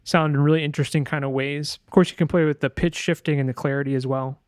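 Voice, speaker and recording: A man presenting a sound design software tutorial in a casual, informal way, thinking aloud as he demonstrates. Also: fairly high pitch, steady tone, fast, very noisy.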